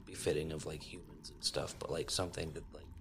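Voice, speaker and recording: Man, very low voice, vocal fry, casual tabletop player, halting phrasing, background noise. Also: flat delivery, a bit bright. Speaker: tabletop role-playing player. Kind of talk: casual in-game chat. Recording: constant clear background noise.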